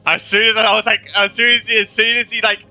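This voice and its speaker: A man giving casual, excitable gameplay commentary as a streamer, often repeating himself as he reacts. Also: sits high, brisk, soft background music. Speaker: video game streamer.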